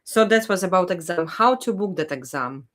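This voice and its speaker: Higher-voiced male interviewer, short prompts and backchannels. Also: lively intonation, moderate pace, a bit bright. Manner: brief backchannels and short questions. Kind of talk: casual interview.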